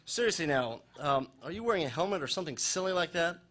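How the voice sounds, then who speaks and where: A man's voice: fairly high pitch, lively intonation, fast, fairly bright tone. A man playing a wisecracking, mock-serious radio host brother who banters in a live comedy sketch. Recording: live stage recording with background noise.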